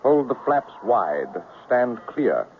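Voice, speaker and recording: An actor playing a coolly arrogant, unflappable big-game hunter in a 1970s radio drama, with a clipped, nonchalant manner. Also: male voice, medium pitch, very animated, takes it slowly. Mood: cool bravado. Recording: tinny, noisy 1970s radio recording.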